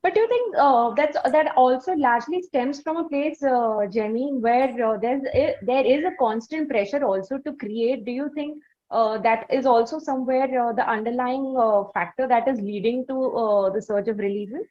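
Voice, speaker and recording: A woman hosting a conversational interview, speaking in wordy, formal, deliberate phrases. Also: fairly high pitch, warm tone, lo-fi sound. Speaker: interviewer, podcast host.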